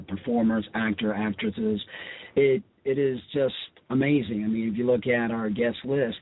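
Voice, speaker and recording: A man's voice: on the low side, slight background noise. A man hosting a radio show and interviewing casually. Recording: muffled, thin phone-like radio audio.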